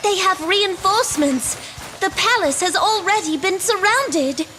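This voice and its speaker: Very high-voiced, resolute royal girl. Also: expressive, measured pace, bright, forceful tone, slight background noise, exaggerated voice. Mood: urgent, grave. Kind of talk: fantasy game voice acting.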